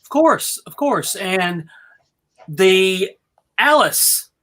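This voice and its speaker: A middle-aged woman hosts a relaxed panel chat. She speaks informally at a middle pitch, guiding the conversation in a chatty way. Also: extremely slow. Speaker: panel host. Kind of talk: casual chat, moderating a panel.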